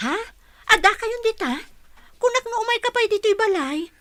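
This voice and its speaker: A woman's voice: very high-pitched, animated, normal speed, fairly bright tone. A woman playing an affectionate, slightly anxious young bride-to-be in a radio-style drama. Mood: excited.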